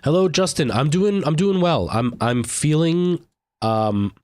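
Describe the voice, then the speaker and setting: A man's voice: a little high, expressive, unhurried, a little mellow, no echo, clean studio sound. A young man with a stuffed-up nose and a lingering cough, chatting casually with viewers while live-streaming a game, rambling with lots of fillers.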